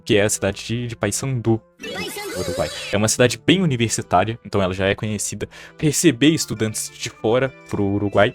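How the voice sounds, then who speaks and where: Young Brazilian man, Minas Gerais accent, mid pitch, casual chatty vlog storyteller, faint music bed. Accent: Brazilian Portuguese, Minas Gerais. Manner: chatty, frequent tag questions, lively bursts. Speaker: vlogger.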